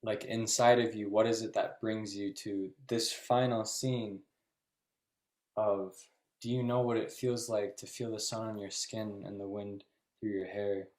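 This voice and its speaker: A man moderating a thoughtful discussion, phrasing his questions in a halting, reflective way. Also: fairly low pitch, flat delivery, darkish tone. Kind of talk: thoughtful post-show interview.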